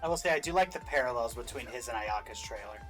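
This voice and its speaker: A man's voice: medium pitch, lively intonation, quick pace, music in the background. A man hosting a reaction show, giving casual commentary.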